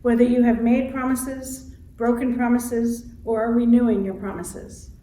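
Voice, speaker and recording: A woman reading a scripted church welcome and liturgy in a measured, solemn way as worship leader. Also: fairly high pitch, level tone, dark tone. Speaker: congregation president and guest worship leader. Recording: roomy, distant mic with faint noise.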